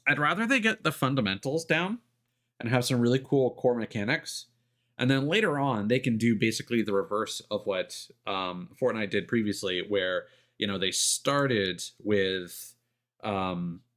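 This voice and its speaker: A man giving casual, explanatory livestream commentary on a video game, thinking aloud with hesitant pauses. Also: fairly low pitch, a bit bright. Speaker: video game live streamer. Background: music behind the voice.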